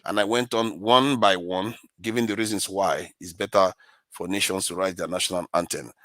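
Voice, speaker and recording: A man giving an argumentative rebuttal in a debate, deliberate and insistent, making his case point by point. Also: low-pitched, steady tone, sharp tone, no echo.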